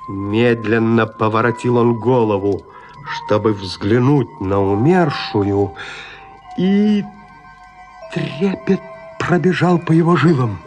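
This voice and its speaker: A man narrating classic literature in an expressive, deliberate, theatrical manner. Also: on the high side. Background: music.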